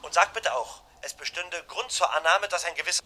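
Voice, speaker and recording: An actor in a vintage radio crime play playing an anxious husband who insistently gives urgent, clipped instructions. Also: male voice, fairly high pitch, brisk, pushed and bright. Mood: commanding, tense. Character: anxious, urgent husband giving hushed orders by telephone. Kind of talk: German radio crime play acting, 1950s to 80s.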